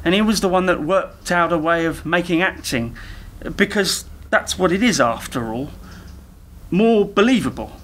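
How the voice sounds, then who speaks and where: An actor playing an eager, naive younger techie in a radio play, rambling and over-explaining earnestly. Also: male voice, high-pitched, animated, moderate pace, fairly bright tone. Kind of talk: community radio play dialogue.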